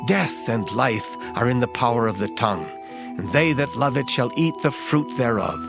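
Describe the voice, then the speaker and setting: A man recites scripture in a measured, solemn way, and his pitch rises and falls a great deal from phrase to phrase. Clear music plays steadily behind him. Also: expressive. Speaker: scripture reader. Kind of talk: dramatized scripture reading. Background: background music.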